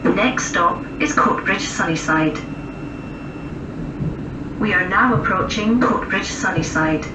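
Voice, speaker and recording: A woman giving formal recorded railway passenger announcements in measured, segmented phrases, heard over a train's public address. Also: mid-range voice, recorded from a distance. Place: aboard a moving passenger train. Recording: muffled, noisy, echoey train PA captured from afar. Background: loud train and rail noise.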